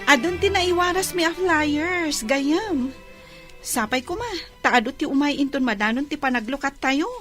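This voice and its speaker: An actress with an Ilocano accent playing a chatty co-worker in a radio drama. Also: female voice, sits high, a little slow, music in the background. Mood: curious. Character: chatty female co-worker at a new inn.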